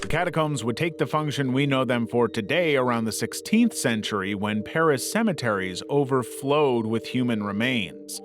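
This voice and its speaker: A man narrating a scripted documentary-style piece in a measured, serious way. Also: mid-range voice, lively intonation. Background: background music.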